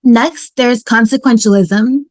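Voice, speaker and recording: Woman playing a high-voiced despairing male ethics professor. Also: slow, no echo. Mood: mock-lecturing. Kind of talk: fan table read of a TV sitcom. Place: home, on a video call.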